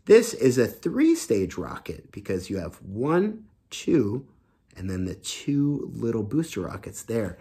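Animated man reading to kids. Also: medium pitch. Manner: enthusiastic, explaining simply for children. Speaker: teacher reading a children's book aloud. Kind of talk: animated read-aloud storytelling with explanations. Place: small home room indoors.

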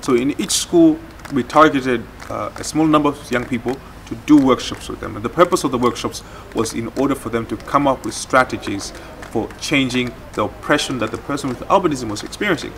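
A man's voice: mid-range voice, fairly quick, few pauses, fairly bright tone. A man in his thirties explaining a project in a documentary interview, recorded outdoors. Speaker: project organiser. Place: outdoors in a garden courtyard. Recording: faint background noise.

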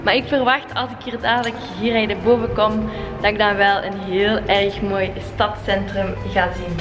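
A woman's voice: medium pitch, flat delivery, normal speed. Young woman, Flemish accent, mid-range voice, casual travel vlogging, background music. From Belgium. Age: young adult. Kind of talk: casual vlog chat. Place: car, restaurant terrace and outdoors on holiday. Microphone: handheld camera at arm's length.

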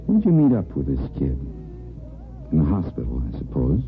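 A man's voice: extremely low pitch, wildly swooping pitch, normal speed, soft background music, exaggerated voice. An actor playing a concerned, blunt, middle-aged American friend and father in a 1950s radio soap opera. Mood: exasperated, skeptical. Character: concerned, blunt, skeptical male friend with a teenage daughter. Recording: tinny, muffled vintage radio broadcast recording.

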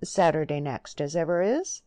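An earnest, smitten young farm lad from a storybook, played by a woman. He talks bluntly in rural English dialect. The voice is low and closely recorded, with a dry sound. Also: animated, moderate pace, dark tone, no echo. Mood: eager, insistent. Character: rustic young countryman, bashful, smitten, earnest suitor.

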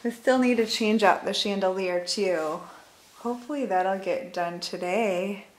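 A woman's voice: mid-range voice, a little slow, low-quality recording. A woman in her forties with a Michigan accent, chatting casually as she films a tour of her house, laughing often and trailing off mid-thought. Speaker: vlogger. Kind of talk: casual home tour vlog. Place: house, indoors, moving through rooms.

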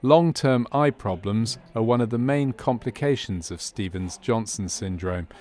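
A man narrates a medical training film in a fairly low voice. His delivery is scripted documentary narration, explaining things as a narrator would. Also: measured pace, darkish tone, faint music behind.

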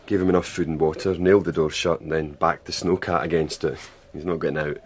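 Deep male voice, gruff, terse, grim expedition man, radio drama, some background noise. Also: steady tone, quick pace. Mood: wary.

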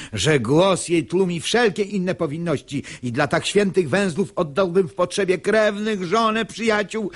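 Pious male hypocrite: highish voice, slow, pompous, smug, for radio verse comedy. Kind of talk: verse stage comedy performed as radio drama.

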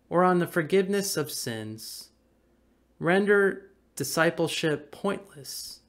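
American guy, pastor reading a devotional, slightly high voice, measured and earnest, small room on a webcam mic. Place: small indoor room at home or office. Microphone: webcam or laptop mic at desk distance.

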